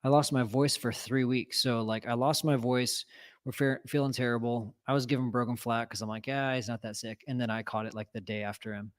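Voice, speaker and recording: A man telling a story in loose, casual chat. He rambles, piles up fillers and keeps restarting his sentences. His voice sits at an ordinary middle pitch for a man. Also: steady tone, a little fast. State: recovering from lost voice. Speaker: co-host telling a home renovation story.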